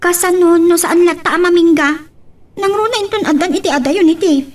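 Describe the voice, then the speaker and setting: Woman as gentle young girlfriend, Ilocano accent, high pitch, radio drama, slightly distant sound. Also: a little fast. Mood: uneasy. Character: gentle young sweetheart from a well-off family.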